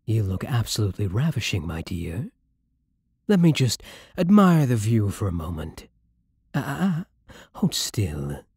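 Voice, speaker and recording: A suave, possessive vampire aristocrat, acted by a man, speaking slowly and seductively in a close, dry ASMR roleplay. His pitch swoops and dips through courtly teasing, with polite menace underneath. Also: very animated, darkish tone, husky, no echo, full character voice. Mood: courteous, pleased. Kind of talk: intimate ASMR roleplay acting.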